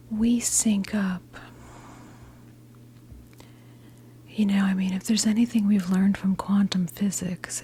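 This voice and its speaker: A gentle, reassuring witch acted by an American woman in her fifties whispers a tarot role play in ASMR style. The delivery is breathy and unhurried, with soft crackles of fry and soothing pauses. A faint hum sits behind the close voice. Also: mid-range voice. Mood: calm, welcoming. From New Jersey, United States. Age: 50s. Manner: slow, soothing, hesitant pauses, encouraging.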